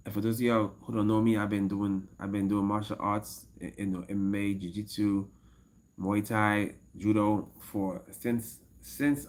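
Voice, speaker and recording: Chatty man in his fifties livestreaming. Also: lowish voice. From United States. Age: 50s. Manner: rambling, repeats himself, laughs often. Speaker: MMA fighter and coach streaming live. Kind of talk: casual livestream chat.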